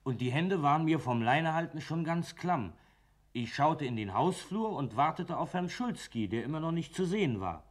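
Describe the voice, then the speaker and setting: A man's voice: a little high, lively intonation, moderate pace. An actor playing a simple farmhand and coachman, speaking deferentially and colloquially in a German radio play. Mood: plain, matter-of-fact. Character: plain, obedient farmhand and coachman telling his account.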